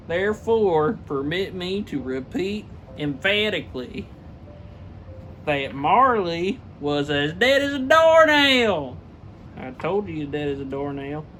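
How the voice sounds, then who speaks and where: A man reading a classic story aloud theatrically and emphatically, stumbling over the text and dropping in casual asides. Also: fairly high pitch. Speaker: reader, show host.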